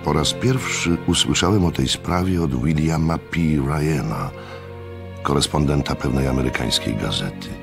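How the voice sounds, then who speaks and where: A measured storyteller in a radio play. His voice sits extremely low, with a gravelly creak. He recounts his tale with literary care and mild scepticism. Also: lively intonation, over music, full character voice. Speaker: narrator.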